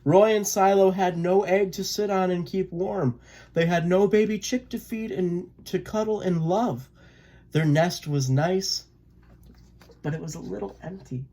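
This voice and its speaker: A person reading a children's picture book aloud in a gentle, expressive way. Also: mid-range voice, unhurried. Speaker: read-aloud storyteller.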